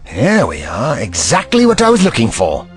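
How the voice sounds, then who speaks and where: Man to play theatrical alien doctor for audio drama: commanding, protective, hugely animated delivery, faint score behind. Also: mid-range voice, normal speed, faint music behind. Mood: thoughtful. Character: urgent, protective male alien doctor and investigator.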